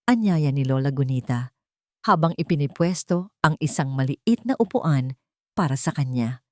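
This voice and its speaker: A woman's voice: deep voice, mellow, dry recording, clean studio sound. A woman storyteller reading a scripted, dramatic love story as narrator.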